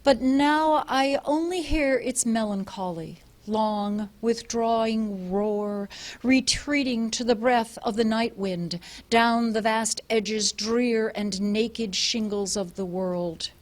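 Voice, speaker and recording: Brief: a woman playing a fireman reciting verse, slow and solemn, mid-high voice. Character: shaken fireman reading a poem aloud.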